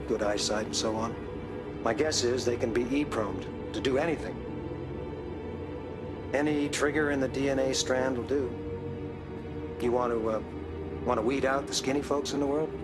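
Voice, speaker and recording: An actor, playing a weary, grave field doctor in a game drama, explaining in a measured, halting way. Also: male voice, mid-range voice, lightly acted. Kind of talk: film drama acting.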